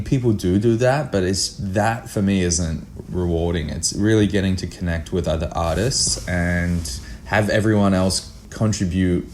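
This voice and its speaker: A man's voice: deep voice, darkish tone, a little hiss or hum. A man speaking casually and enthusiastically as a guest filmmaker in an interview. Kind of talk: casual conversational interview answers.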